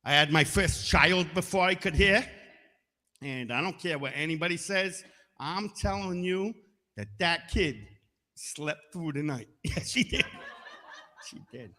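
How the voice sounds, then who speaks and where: Middle-aged male stand-up, high bright voice, punchy and deadpan, live room with a long reverb. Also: unhurried. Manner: punchy, deadpan asides, bursts into loud shouted singing. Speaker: stand-up comedian. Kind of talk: stand-up comedy set. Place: comedy stage.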